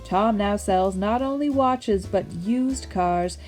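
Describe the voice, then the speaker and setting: A woman's voice: mid-range voice, takes it slowly, darkish tone, lightly acted. A young woman reading scripted storybook-like narration for a radio play. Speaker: epilogue narrator. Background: music.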